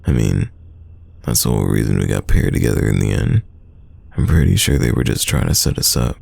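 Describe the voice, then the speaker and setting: Man acting a very deep, gravelly teasing crush. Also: lively intonation, fairly quick, no echo, heavily acted. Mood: fond, sincere. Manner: playful, teasing, soft close delivery. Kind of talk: romantic roleplay audio, intimate one-sided dialogue.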